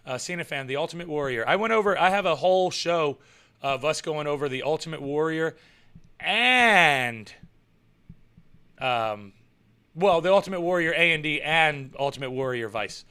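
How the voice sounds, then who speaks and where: A young man chats loosely on a live stream and answers viewers as he goes. His voice is bright and forceful. He rambles, repeats himself and chuckles often. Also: on the high side, lively intonation, moderate pace, clean tone. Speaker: live show host and pro wrestler. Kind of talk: casual live-stream chat reading viewer comments.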